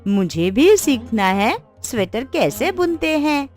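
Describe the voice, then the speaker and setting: A woman voicing chatty, teasing village women in a cartoon story, with exaggerated, expressive delivery. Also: sits high, slow, faint music behind, full character voice. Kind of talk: cartoon moral story dialogue.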